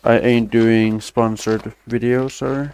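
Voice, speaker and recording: A man's voice: medium pitch, flat delivery, unhurried, darkish tone, smooth. A young man, chatting loosely on a stream, rambling and swearing casually with self-deprecating asides. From Denmark. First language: Danish.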